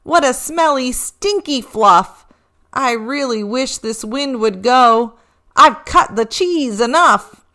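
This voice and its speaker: A woman's voice: high voice, animated, slow pace, pushed and bright, voice pushed up, exaggerated voice. A woman voicing an embarrassed, apologetic young male reindeer in a storybook, with a sheepish, exclaiming delivery. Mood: embarrassed, regretful.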